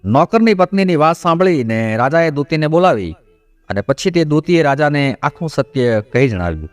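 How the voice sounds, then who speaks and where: A man's voice: on the high side, sing-song, normal speed. A man narrating a scripted moral story in a didactic manner. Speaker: narrator of devotional moral stories. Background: background music.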